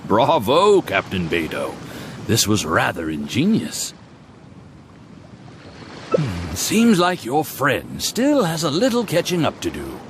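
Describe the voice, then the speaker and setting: A man's voice: medium pitch, huge pitch swings, slow, long pauses, noisy background. A man voicing a courteous, amused merchant in a video game cutscene. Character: smooth admiring male merchant.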